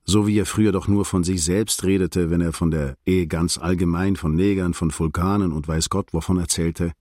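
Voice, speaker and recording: An actor playing a reflective male prosecutor, narrating a literary radio drama in a measured, detached, observant way. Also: deep voice, fairly even intonation, mellow, no echo, studio quality. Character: reflective male state prosecutor recounting a visit to friends.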